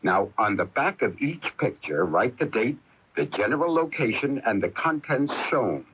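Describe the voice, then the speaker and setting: A man's voice: medium pitch, very animated, normal speed, fairly bright tone, breathy edge. A man reading a scripted consumer advice announcement on the radio. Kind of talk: scripted public service announcement. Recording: dull radio broadcast.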